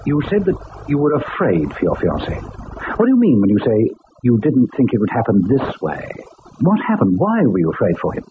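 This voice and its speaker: An actor voicing a hardened older police inspector in a vintage radio drama, speaking in a measured, polite and authoritative way. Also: male voice, fairly low pitch, huge pitch swings. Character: hardened old police inspector, calm and methodical. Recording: tinny old radio broadcast with loud hiss.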